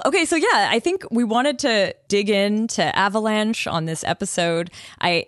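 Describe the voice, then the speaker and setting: Woman host; medium pitch, dry close sound; upbeat, conversational. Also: dry recording. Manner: upbeat and chatty, with quick laughs. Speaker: podcast host. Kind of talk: interview host chat.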